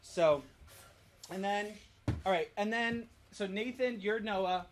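A man's voice: high-pitched, takes it slowly, a little hiss or hum. Middle-aged man, casual home vlog, directing, instructional, step-by-step explanations. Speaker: father leading a family activity and app demonstration. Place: family home.

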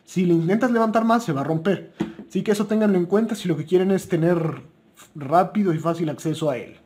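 A man's voice: high voice, brisk. A man with a Mexican Spanish accent giving buying tips in a chatty, informal, unscripted way, correcting himself as he goes. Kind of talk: casual unscripted product talk.

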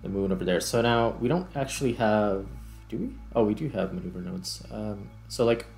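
A man's voice: lowish voice, moderate pace, creaky, darkish tone. A man hosting a gaming video, thinking aloud in casual commentary as he plays. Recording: music behind the voice. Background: background music.

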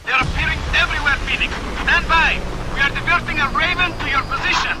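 A man's voice: very high voice, steady tone, quick pace, background noise. An actor playing a formal male military commander speaking over the radio. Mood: urgent.

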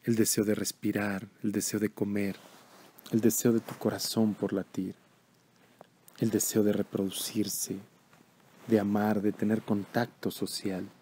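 A man leading a guided meditation in a calm, measured, instructional way. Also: lowish voice, a little hiss or hum.

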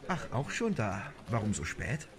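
A man's voice: fairly low pitch, big pitch swings, measured pace, gravelly, crowd noise, heavily acted. A man playing a laid-back, mildly grumbling police officer in an amateur audio drama. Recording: noisy track with birdsong and ambience.

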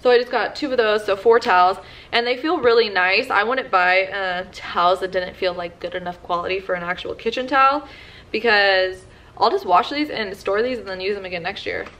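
A woman's voice: mid-range voice, fairly quick, a bit bright, rough recording. A woman in her twenties chatting casually through a shopping haul in her living room, with lots of "like" fillers. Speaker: vlogger.

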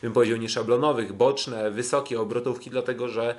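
A man hosting a sports podcast, commenting on kickboxing bouts in a halting, hesitant way. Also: medium pitch, fairly even intonation.

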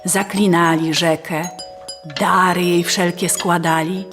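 A woman's voice: fairly low pitch, little pitch movement, takes it slowly. A woman narrating a scripted fairy tale as a storyteller. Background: faint music.

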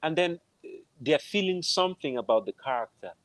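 A man's voice: on the high side, lively intonation, fairly quick, a bit bright, dry recording. Nigerian man giving thoughtful interview answers. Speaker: guest, film composer. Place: room at home, on a video call. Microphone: wired earphones on a video call.

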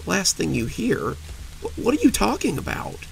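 An anxious young husband stumbles over his questions, his gravelly voice tight with worry, played by the male narrator. He repeats words as he presses for answers. Light rain hisses faintly behind him. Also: medium pitch, animated, normal speed, lightly acted, low-quality recording. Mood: worried. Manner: anxious, stammering. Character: worried young husband stuck in traffic.

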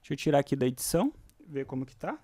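A Brazilian man with a high-pitched voice walks through a software tutorial in an informal way. He thinks aloud and often adds short tag questions. The voice-over is dry, with music in the recording. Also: very animated, very fast, breathy edge, no echo. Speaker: music production tutorial host. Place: indoors, voice-over on a screen recording.

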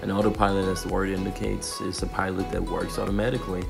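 Male narrator, young, Danish accent. Very low, creaky voice explaining things over music. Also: flat delivery, fast talker. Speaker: student pilot.

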